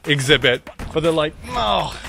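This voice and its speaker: A man chatting and joking excitedly while exploring ruins in the woods. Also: a little high, unhurried, gravelly. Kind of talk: casual chat while exploring. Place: outdoors in woods. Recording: outdoor audio under music.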